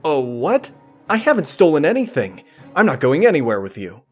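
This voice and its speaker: A man's voice: on the high side, huge pitch swings, moderate pace, a little mellow, faint music behind, voice pushed up. A man voicing a shifty, defiant male pony petty thief in a comic story.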